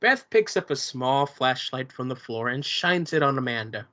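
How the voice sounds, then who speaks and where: Some guy reading stage directions flat and plain, dull lo-fi sound. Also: medium pitch, normal speed. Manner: plain, even reading with small stumbles. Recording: muffled lo-fi recording.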